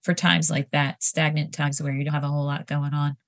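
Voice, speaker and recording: A woman's voice: low voice, little pitch movement, fairly quick, dry recording, clean studio sound. A woman co-hosting a podcast with a stuffy nose, chatting casually and laughing easily. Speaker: podcast co-host. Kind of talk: casual chat.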